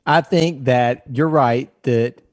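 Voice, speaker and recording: Animated man in his late forties. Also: mid-range voice, takes it slowly, slight background noise. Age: 40s. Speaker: podcast co-host. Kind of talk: casual chat.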